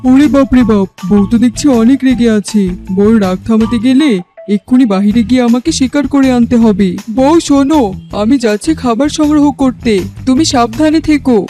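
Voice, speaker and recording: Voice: high voice, a little fast, mellow, soft background music, lightly acted. An actor voicing a lazy, henpecked husband fox in a children's cartoon, whiny and dramatic, often muttering to himself. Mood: worried. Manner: whining, exclaiming, muttering to himself. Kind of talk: children's fairy-tale cartoon voice acting.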